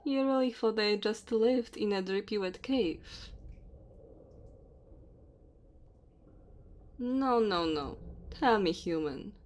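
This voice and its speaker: An ancient dragon woman, acted by a woman addresses her human captive in an ASMR roleplay. She is commanding and playfully teasing, with unhurried delivery at a medium pitch. Faint ambient noise sits behind her voice. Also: darkish tone, natural voice. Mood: proud, amused. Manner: haughty, teasing, addresses listener directly. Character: dominant, teasing immortal dragon woman claiming a human mate. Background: cave ambience and fire sound effects.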